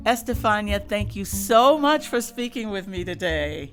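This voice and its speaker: A woman's voice: mid-range voice, expressive, a little slow, soft background music. A woman hosting a conversational interview podcast, speaking in an effusive, complimentary way.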